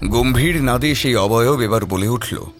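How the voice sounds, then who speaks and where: A man's voice: mid-range voice, moderate pace, faint music behind. A man narrating a scripted horror story in a theatrical, suspenseful manner.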